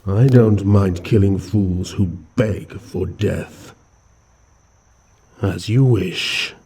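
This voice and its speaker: A man playing a courteous but menacing vampire count, narrating his tale and speaking slowly, formally and calmly. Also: sits low, a little hiss or hum, full character voice. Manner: slow, formal, calm and politely threatening. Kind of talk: first-person storytelling and audio drama.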